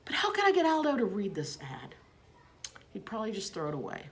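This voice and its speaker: A woman reading a children's storybook aloud in expressive narration. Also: medium pitch, fairly quick.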